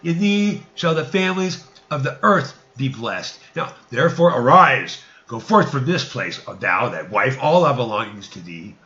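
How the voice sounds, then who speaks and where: A man's voice: mid-range voice, big pitch swings, measured pace, hardly any pauses, bright, forceful tone, dull audio, heavily acted. A man voicing God in a scripture story, solemn and commanding, with archaic, declamatory phrasing.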